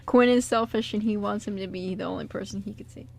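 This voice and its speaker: A young woman co-hosting a radio show, talking casually in an excitable, expressive way. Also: medium pitch, quick pace, vocal fry, a crowd in the background. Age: young adult. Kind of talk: casual chatty radio banter.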